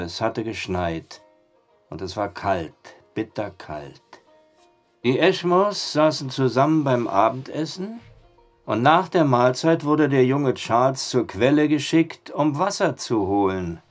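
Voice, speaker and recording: An actor playing a reluctant, money-minded small-town storyteller in a radio drama, with a folksy manner. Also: male voice, medium pitch, lively intonation, unhurried, faint music behind, lightly acted. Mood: storytelling.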